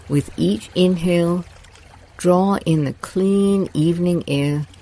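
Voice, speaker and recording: A woman leads a guided meditation in a soft, mellow voice, taking her time over every phrase. Her delivery is slow and soothing, with gentle water sounds faintly behind her. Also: sits low, a little hiss or hum, low-quality recording.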